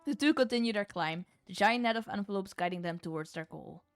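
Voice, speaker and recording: A woman's voice: medium pitch, brisk. A woman streamer reading a visual novel aloud like a storybook, dropping in casual, playful, sweary asides. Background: music.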